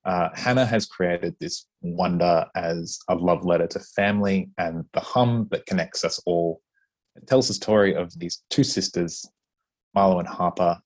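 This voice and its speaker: Deep husky male host. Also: a little slow. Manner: relaxed, chatty, with frequent fillers. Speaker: podcast host interviewing an author. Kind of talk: casual interview.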